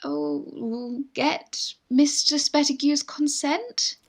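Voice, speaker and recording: A woman's voice: sits high, fairly even intonation, extremely slow, creaky, full character voice. A woman with a British accent playing an eager young Victorian lady in love in a radio farce, genteel and pleading. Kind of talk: Victorian stage farce, radio play acting.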